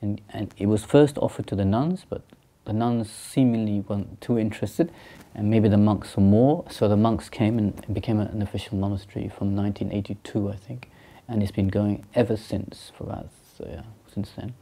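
A man in his thirties, from Sri Lanka and raised in England, explains his path as a monk in an interview. His voice sits low and very dark. He talks in a thoughtful, halting way, full of ums and restarts. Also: fairly even intonation. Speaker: Buddhist monk and teacher. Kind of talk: interview answers. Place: small indoor room by a shrine.

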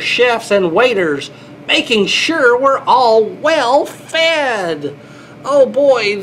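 Middle-aged man, unhurried picture-book reading, playful child-directed delivery, exclamations, noticeable background noise. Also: very high-pitched, fairly bright tone. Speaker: grandfather reading to children, air traffic controller. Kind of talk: children's picture-book read-aloud with commentary. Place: small indoor airport control room.

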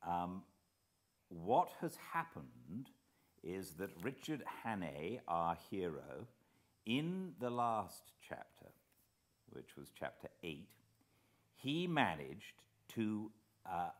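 A middle-aged man with a British accent, an audiobook narrator giving an expressive fireside reading. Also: deep voice, takes it slowly. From Britain. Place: living room beside a fireplace.

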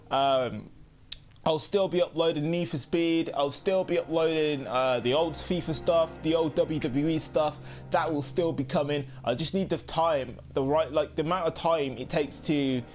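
A young man in his late teens giving casual let's play commentary, rambling with many pauses and quick apologies. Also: a little high, darkish tone. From United Kingdom. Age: young adult. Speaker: gaming YouTuber.

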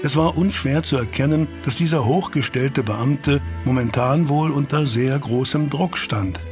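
A man playing a courteous Victorian gentleman who chronicles detective cases in a formal, measured, literary manner for a radio play. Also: mid-range voice, lightly acted. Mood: measured, reflective. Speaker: narrator and lead actor in an audio drama. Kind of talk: radio play narration and dialogue. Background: music.

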